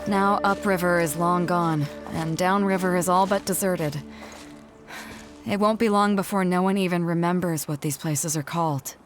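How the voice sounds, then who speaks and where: Woman playing a low-voiced female captain, self-assured, playful yet reflective, measured game dialogue, music behind. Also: fairly even intonation, close to an everyday voice. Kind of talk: video game character dialogue.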